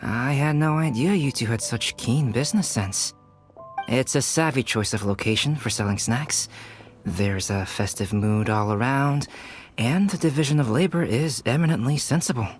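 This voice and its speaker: An actor playing a polite, scholarly young alchemist in a video game, speaking in a measured, formal, understated way. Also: male voice, medium pitch, lightly acted. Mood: calm, matter-of-fact. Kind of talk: video game cutscene acting. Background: music.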